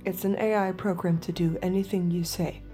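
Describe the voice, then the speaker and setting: Woman acting secretive android, young female, American accent, mellow tone, earnest, halting, hushed, audio drama. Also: lowish voice, steady tone, faint music behind, natural voice. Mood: hushed, confessional.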